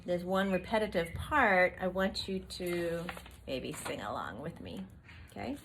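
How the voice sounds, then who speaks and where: A middle-aged woman reading a picture book aloud to young children on an outdoor patio, expressively, with drawn-out questions and singsong refrains. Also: medium pitch. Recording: outdoor recording with faint background noise.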